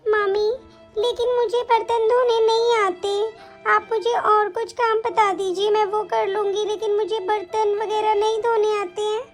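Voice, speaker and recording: A woman's voice: extremely high pitch, flat delivery, a little slow, soft background music, pitched above the performer's own voice. A woman voicing a timid young girl who pleads and whines in a family skit. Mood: pleading. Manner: whiny, pleading. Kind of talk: comic family skit acting.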